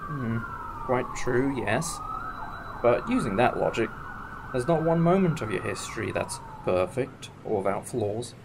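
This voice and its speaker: A man's voice: medium pitch, animated, unhurried, creaky, noisy background. A British man playing an eccentric, enthusiastic alien time traveller in a fan audio drama, musing like a professor. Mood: musing, upbeat. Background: music.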